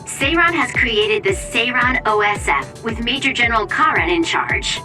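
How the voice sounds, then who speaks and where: Woman doing a high bubbly operator girl giving orders, sounds a bit far off. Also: animated, normal speed, music in the background, lightly acted. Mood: chipper. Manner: chipper, briefing tone. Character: cheerful young female platoon operator.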